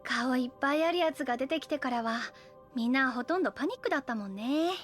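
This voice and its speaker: A woman's voice: high voice, lively intonation, fast talker, soft background music. An actress playing an easygoing, affectionate young woman in an anime audio drama, talking in a frank, friendly, casual way. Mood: frank, gentle. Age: young adult.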